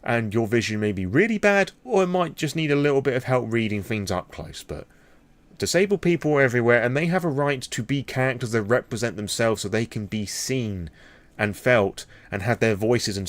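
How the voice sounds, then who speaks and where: A man's voice: on the low side, no room sound. A British man rambling candidly through an impromptu vlog, opinionated and freely swearing. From Britain. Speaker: vlogger.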